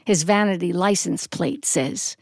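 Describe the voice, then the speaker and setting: A woman telling a story in a polished, expressive way, with deliberate descriptive phrasing and dramatic pauses. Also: on the low side, creaky, a crowd in the background. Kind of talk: polished live storytelling to an audience.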